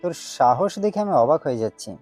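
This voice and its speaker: A man's voice: a little high, animated, a little fast, a little mellow, soft background music, natural voice. A man playing an arrogant, violent young campus gang boss in an audio story, speaking in a threatening, domineering way. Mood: scornful. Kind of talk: dramatized audio story acting.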